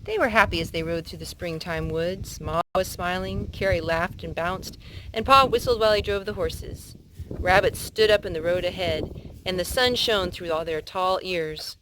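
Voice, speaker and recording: A woman's voice: on the low side, moderate pace, noisy background. Woman reading aloud to children. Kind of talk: read-aloud children's storytelling.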